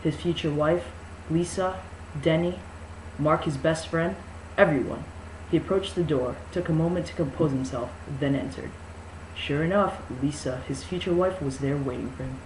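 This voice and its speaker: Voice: mid-range voice, moderate pace, darkish tone. Mock-dramatic narrator in an echoey room. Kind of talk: mock-dramatic novel narration and casual introduction. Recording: echoey room with faint noise.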